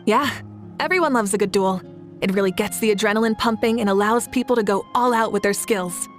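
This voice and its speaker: A woman's voice: medium pitch, a little fast, faint music behind, close to an everyday voice. A woman playing a confident, good-humored warrior champion in a video game, speaking with easygoing assurance. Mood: passionate. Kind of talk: video game character voice acting.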